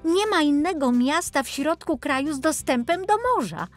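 A woman reading a scripted children's story in an expressive, playful storytelling manner. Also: high-pitched, normal speed, soft background music. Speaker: storyteller narrator.

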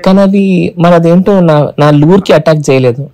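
A man's voice: on the high side, brisk. A man, casually narrating a fishing vlog outdoors on a rocky shore and mixing in English phrases. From Telangana. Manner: excitable, switches into English phrases. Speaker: fishing vlogger. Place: rocky seashore at night, outdoors. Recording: outdoor camera audio with wind and surf noise.

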